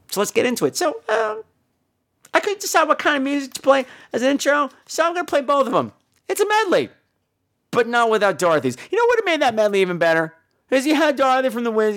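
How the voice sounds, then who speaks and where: A man hosting a podcast in a campy, irreverent, rambling way, his voice hoarse from laryngitis. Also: sits high, fairly bright tone. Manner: campy, irreverent, crude joking. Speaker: podcast host. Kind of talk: rambling comic casual chat.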